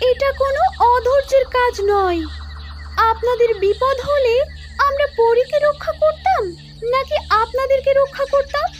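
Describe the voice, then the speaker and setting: A woman's voice: very high-pitched, moderate pace. A woman voicing a small, brave winged fairy guardian, earnest and protective, in a cartoon fairy tale. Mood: scolding, reassuring. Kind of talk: animated fairy-tale dialogue.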